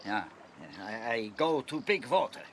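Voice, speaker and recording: An actor playing a boisterous seabird in a radio play, with a foreign accent and exclamatory broken English. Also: male voice, mid-range voice, very animated, normal speed, a little hiss or hum, lightly acted. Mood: friendly. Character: boisterous male seabird with a foreign manner of speech.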